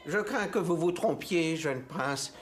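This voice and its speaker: A man plays a kindly, doubtful dwarf in a fairy-tale cartoon. The voice is slightly high for a man, with a courteous, hesitant way of speaking. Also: a little slow, close to an everyday voice.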